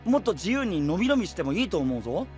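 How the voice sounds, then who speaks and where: Earnest, animated young knight. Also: male voice, sits high, rapid-fire, faint music behind. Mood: earnest, concerned. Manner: guileless, open, casual. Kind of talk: anime-style voice drama acting.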